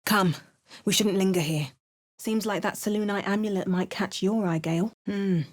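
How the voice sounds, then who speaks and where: A woman's voice: medium pitch, normal speed, close to an everyday voice. Video game adventurer, voiced by a woman, wry and battle-hardened, dry sardonic delivery. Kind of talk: video game character barks and narration.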